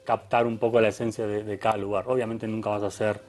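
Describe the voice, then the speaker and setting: A man's voice: on the low side, level tone, fast talker, over loud music. Argentine man, faded accent, reflective interview guest. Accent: Argentine, softened. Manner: thoughtful, many restarts and tag questions. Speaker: photographer guest. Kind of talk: conversational interview.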